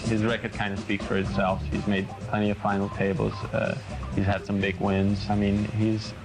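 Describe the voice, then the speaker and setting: A man from Finland, a poker player talking in an interview in a flat, understated, matter-of-fact way. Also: lowish voice, normal speed, music in the background, poor audio.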